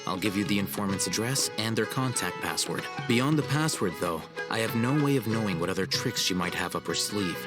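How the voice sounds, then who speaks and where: A man voicing a cool, haughty young scholar in a video game, formal and measured. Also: on the low side, lively intonation, lightly acted. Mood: aloof, businesslike. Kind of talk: video game cutscene acting. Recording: music behind the voice.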